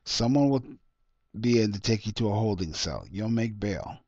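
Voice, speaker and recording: A man's voice: lowish voice, animated, normal speed, darkish tone, dry recording, lo-fi sound. A man voicing a businesslike police detective in a short story reading, official and matter-of-fact. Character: businesslike male police detective, calm and procedural.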